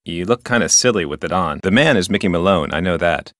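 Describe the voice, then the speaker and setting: Adventure game park ranger: lowish pitch, officious, formal and wordy, rule-bound, dry studio sound. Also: male voice, lively intonation, fast talker, a bit bright, no echo. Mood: helpful, precise. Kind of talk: scripted adventure game dialogue.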